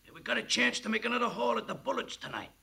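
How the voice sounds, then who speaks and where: An actor playing a sneaky male burglar with a raspy, gravelly, hushed voice in a 1940s radio comedy. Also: very high-pitched, racing, heavily acted.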